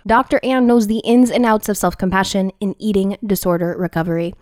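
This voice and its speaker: Young woman host, casual and chatty, a touch raspy from a cold, recorded dry and close. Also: medium pitch, fairly even intonation, a little fast, darkish tone, dry recording. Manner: chatty, uses "like" a lot, self-deprecating. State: has a cold, slightly raspy. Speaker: podcast host. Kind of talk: casual podcast hosting.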